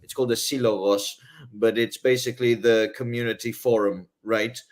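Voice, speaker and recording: A man's voice: medium pitch, steady tone, normal speed. A man with an English accent, talking as a guest in a casual interview, haughty and rambling, full of ums and rhetorical "right?". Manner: haughty airs. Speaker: guest author.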